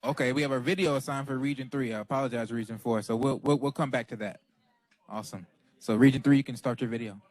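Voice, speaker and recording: A man hosting an online meeting, briskly directing whose turn it is. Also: medium pitch, fairly even intonation, darkish tone, dry recording. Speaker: meeting host.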